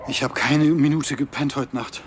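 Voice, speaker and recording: A man's voice: medium pitch, steady tone, noisy background, lightly acted. Actor as anxious, guilt-ridden young man. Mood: anguished, weary. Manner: halting, troubled confession. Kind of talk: radio drama acting.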